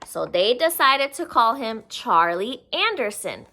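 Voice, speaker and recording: A woman's voice: fairly high pitch, sing-song, unhurried, bright, forceful tone. A woman reading a picture book aloud to young children, expressively and with simple explaining asides. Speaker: children's story reader.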